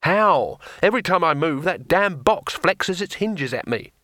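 A male narrator voicing a hapless, anxious wizard who grumbles and pities himself, pitched a little above his own voice. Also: animated, moderate pace, a bit bright, dry recording, studio quality. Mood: grumbling. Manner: gloomy, self-pitying complaints.

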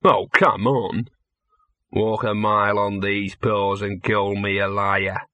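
A man's voice: on the low side, flat delivery, slow pace, bright, forceful tone, dry recording. A man voicing a small scruffy talking dog in an audiobook, a streetwise know-all with a level, sardonic mutter. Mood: indignant. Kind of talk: audiobook character voice.

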